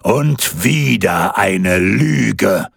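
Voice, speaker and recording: A man voicing a giant, menacing male forest spirit who talks slowly in a deep, rumbling voice. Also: very animated, vocal fry, fairly bright tone, breathy edge, dry recording, studio quality, heavily acted. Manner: deep, rumbling, slow and threatening.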